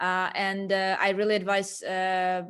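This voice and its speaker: A woman moderator at a panel event, with a voice of middling pitch. She picks her words slowly, filling pauses with "uh" and "um" as she builds up to her questions. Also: monotone, a bit bright, clear, resonant tone. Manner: halting, many fillers.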